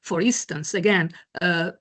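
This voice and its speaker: A woman's voice: fairly low pitch, fairly even intonation, unhurried, a bit bright. A woman chairing an online meeting, answering questions hesitantly with many fillers and restarts over a video call. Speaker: chair of a museum committee.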